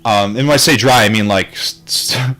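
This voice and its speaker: A man's voice: lowish voice, normal speed, bright, forceful tone, faint music behind. A man reading a book aloud and adding his own casual, rambling commentary, with wry and reflective asides.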